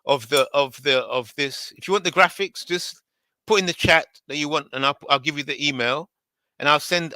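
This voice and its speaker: A man hosting a livestream in casual chat, hoarse, rambling and repeating himself with many restarts. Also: fairly high pitch, pushed and bright, dry recording. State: hoarse, voice going. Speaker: livestream host.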